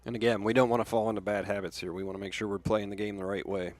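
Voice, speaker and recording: A man gives color commentary on a live baseball broadcast. His voice is on the low side and he talks very quickly as he analyzes the plays. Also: level tone, darkish tone.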